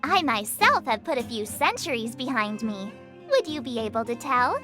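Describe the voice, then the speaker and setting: A woman's voice: a little high, very animated, normal speed. A woman voicing a playful, cheeky girl in a video game, perky and teasing in manner. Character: playful, cheeky girl claiming to be centuries old. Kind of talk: video game story dialogue. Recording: clear music under the voice.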